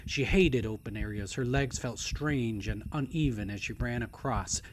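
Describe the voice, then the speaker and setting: A man reading a novel aloud as a dramatic narrator, in a hushed, deliberate and suspenseful way that at times nears a whisper. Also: mid-range voice, a little hiss or hum. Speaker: author reading own novel. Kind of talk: dramatic audiobook-style story narration.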